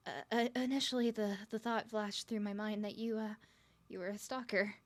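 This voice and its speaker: A woman's voice: mid-range voice, fairly even intonation, moderate pace, lightly acted. Woman acting shy, stammering, smitten young woman. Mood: flustered, smitten. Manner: heavy stutter, flustered rambling, nervous giggles. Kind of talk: ASMR roleplay acting.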